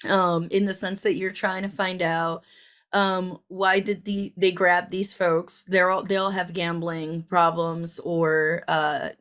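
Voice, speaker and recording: A woman's voice: on the low side, little pitch movement, slow pace, a bit bright. A woman co-hosting a podcast, chatting casually and rambling with lots of ums and likes. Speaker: podcast co-host. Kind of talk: casual chat.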